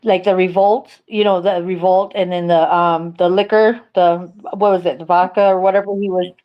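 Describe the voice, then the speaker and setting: A woman's voice: lowish voice, steady tone, moderate pace. A woman chatting casually on a livestream, rambling with lots of fillers and restarts. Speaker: livestream co-host. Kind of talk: casual chat. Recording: dull lo-fi stream audio.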